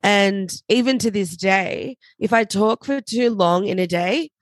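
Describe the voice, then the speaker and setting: A young woman with a slightly raspy voice, chatting casually as a podcast co-host. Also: medium pitch, dry recording, clean studio sound. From Australia. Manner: chatty, quick to laugh. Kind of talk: casual chat.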